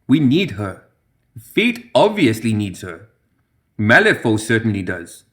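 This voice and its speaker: A man's voice: on the low side, sing-song, a little slow, a bit bright. Man voicing a sly, cruel young sorceress. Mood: knowing, earnest. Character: sly, playfully cruel supernatural young woman.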